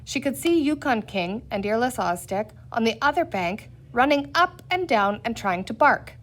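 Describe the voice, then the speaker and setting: High-voiced woman reading a children's story. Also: expressive, normal speed, fairly bright tone. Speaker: audiobook reader. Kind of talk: expressive scripted children's story narration.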